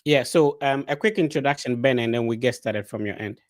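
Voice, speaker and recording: A man hosts a live online interview in a casual, conversational way. His voice sits at a middle pitch. The sound is dry and close, with little room in it. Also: fairly quick, dry recording. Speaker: host of an online interview show. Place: live online video call.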